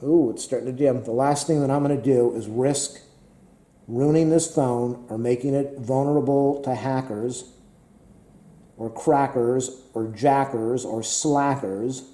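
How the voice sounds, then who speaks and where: A man talks casually and rambles, repeating himself and filling pauses with "uh." His voice is very dark and mellow and a little hoarse. He sounds like he's in an echoey room. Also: mid-range voice. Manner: rambling, repetitive, many fillers. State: slightly hoarse. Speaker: tech vlogger.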